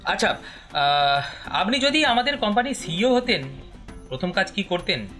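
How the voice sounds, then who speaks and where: A man acting a formal, puzzled job interviewer with hesitant, measured questions in a comedy audio drama. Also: high-pitched, very animated, bright, forceful tone, faint music behind, exaggerated voice.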